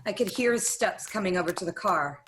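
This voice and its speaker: A woman's voice: mid-range voice, lively intonation, a little fast, noisy background, natural voice. A woman playing a secretive studio employee in a 1940s radio noir, narrating in a confessional way. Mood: tense, confiding. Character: scheming young female studio employee, secretly complicit in murder.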